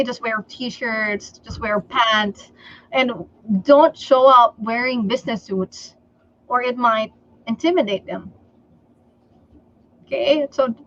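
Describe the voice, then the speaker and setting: A woman from the Philippines whose first language is Tagalog, giving sales advice in a livestream interview and often pausing on "okay" and "um". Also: a little high, fairly bright tone, dull audio. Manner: slow and deliberate, many fillers like okay and um. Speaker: sales trainer guest.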